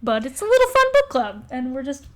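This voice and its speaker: A woman's voice: high-pitched, sing-song, fairly quick. A woman co-hosting a podcast in casual comedic banter, sarcastic and self-deprecating, happy to swear. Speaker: podcast co-host.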